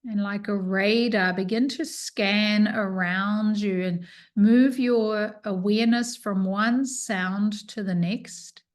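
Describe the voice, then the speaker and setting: A woman teaching yoga nidra, leading a guided relaxation with soothing, unhurried instructions and pauses. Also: medium pitch, steady tone.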